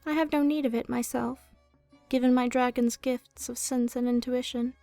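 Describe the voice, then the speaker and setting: A woman voicing an ancient, gentle female dragon in a soft ASMR roleplay, speaking wistfully and formally, as if telling a storybook tale. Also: sits high, fairly even intonation, moderate pace, creaky, no room sound, faint music behind. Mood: gentle, solemn.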